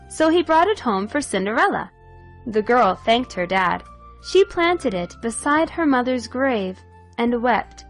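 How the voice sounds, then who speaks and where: A woman narrating a scripted fairy tale for children in an expressive, measured storytelling manner. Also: a little high.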